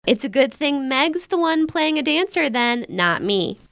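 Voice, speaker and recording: An actress playing an outspoken, wisecracking young American elder sister in a naturalistic modern audio drama, with blunt, casual banter. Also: female voice, high voice, very animated, unhurried, vocal fry, a bit bright, no echo, clean studio sound, exaggerated voice. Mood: breezy, teasing. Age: young adult.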